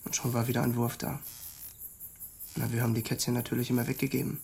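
An actor playing a haunted, simple country man in a radio drama, halting and hushed, with a gravelly, husky voice. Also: medium pitch, level tone. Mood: haunted, remorseful.

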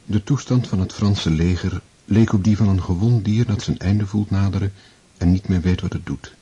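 An actor as the solemn narrator of a literary war epic in a radio drama, telling it in a measured way. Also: male voice, low-pitched, dark tone, breathy edge, dull audio. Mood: grave, measured.

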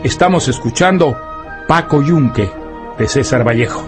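A man's voice: medium pitch, animated, moderate pace. Male radio announcer over guitar music. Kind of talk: scripted programme announcement.

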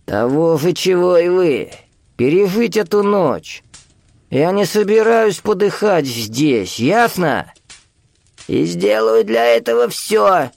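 A man voicing a nervous, aggressive old teacher in an audiobook, with a strained shouting voice and a snappish, threatening way of drawing out words. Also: high voice, big pitch swings, slow pace, vocal fry, dry recording, pitched above the performer's own voice, heavily acted. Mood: desperate, defiant.

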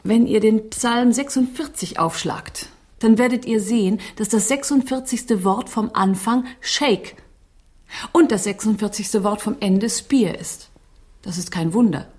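An actress in a radio drama playing a composed, proud gentlewoman hostess with a formal, poised manner. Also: fairly high pitch, unhurried, lightly acted.

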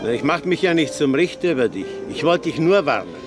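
An actor playing a cautious, well-connected friend who placates and warns in a radio drama. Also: male voice, a little high, big pitch swings, brisk, over music. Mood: placating.

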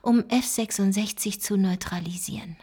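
Actress as sober female investigator, radio drama, mid-pitched, precise and sceptical. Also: moderate pace, dark tone, husky, close to an everyday voice. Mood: focused, pondering. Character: sober, sceptical woman investigator reading out secret files. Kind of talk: radio play dialogue and document reading.

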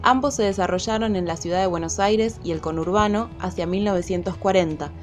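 A woman's voice: fairly low pitch, a little fast. A woman reading scripted information in a formal, explanatory way. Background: faint music.

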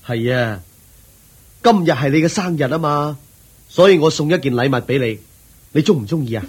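A man's voice: on the high side, animated, normal speed, darkish tone, slightly rough. An actor playing a devoted young nobleman who speaks affectionately to his wife in a radio drama, with a Hong Kong Cantonese accent. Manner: affectionate, attentive.

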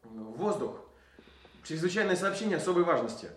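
A man's voice: fairly high pitch, animated, moderate pace, a bit bright. A man acting a rule-bound army cipher clerk in a Russian radio play, reporting in a clipped military way in an echoey room. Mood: formal, urgent. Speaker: actor in an audio drama. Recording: echoey room, some noise.

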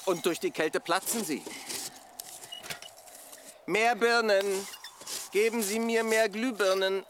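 A man's voice: sits high, slow, fairly bright tone, a little hiss or hum. An actor playing a bossy, matter-of-fact male maintenance engineer in a German radio drama. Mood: urgent. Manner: brusque, matter-of-fact, instructing.

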